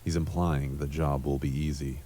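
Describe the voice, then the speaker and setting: A man playing a world-weary, cynical cyberpunk antihero in a deadpan noir monologue for an adventure game. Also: a bass voice, moderate pace. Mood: weary, guarded. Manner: deadpan, brooding.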